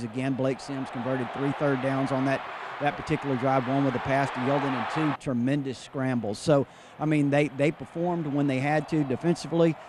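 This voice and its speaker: Clear location noise surrounds an American man of medium pitch. He breaks down a game as an analyst, speaking off the cuff. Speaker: sports analyst. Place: on location, away from studio. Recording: noisy location ambience.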